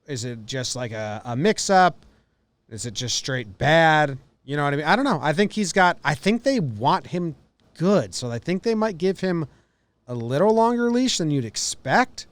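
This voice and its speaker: A man with a slightly high voice, recorded dry and close. He chats casually about sports as a podcast co-host, feeling his way through each opinion and posing questions as he goes. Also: lively intonation, moderate pace, dry recording.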